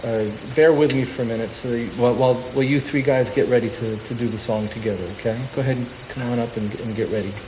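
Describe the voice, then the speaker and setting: Male live radio host, unscripted and halting, roomy sound with some noise. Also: medium pitch, fairly even intonation. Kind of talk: unscripted stage talk to a live audience. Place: stage of a live radio show. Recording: echoey hall reverb, noticeable background noise.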